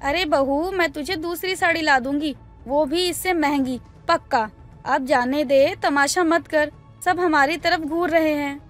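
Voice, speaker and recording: A woman playing an older, peacemaking mother-in-law, placating yet commanding, in an animated family story. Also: sits high, measured pace, faint music behind. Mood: firm, placating. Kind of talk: animated family drama dialogue.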